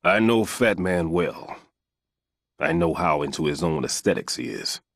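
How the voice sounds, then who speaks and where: An actor playing an aging, retired bomb disposal expert in a video game, a weary mentor who explains things in a measured, authoritative way. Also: male voice, deep voice, a bit bright, slight background noise. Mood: instructive. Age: older.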